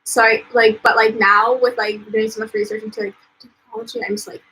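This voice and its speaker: A young American woman, an author, chatting casually on a live stream, full of "um"s and "like"s and quick laughs. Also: on the high side, level tone, bright, forceful tone, slight background noise, low-quality recording. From United States. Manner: chatty, lots of "um" and "like", laughs often. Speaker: author and vlogger.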